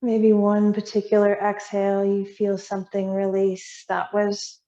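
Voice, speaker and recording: A woman yoga teacher leading a guided meditation in a soothing, unhurried, gently instructing way with long pauses. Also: mid-range voice, completely flat delivery. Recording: roomy echo, slightly muffled sound.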